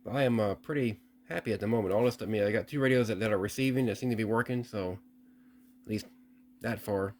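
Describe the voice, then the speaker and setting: A man with a fairly low voice talks through a piece of equipment in a casual, hands-on way. He explains step by step, restarting and repeating himself as he goes. There is some light noise behind him. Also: fast talker, a little mellow. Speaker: radio repair hobbyist presenting a video.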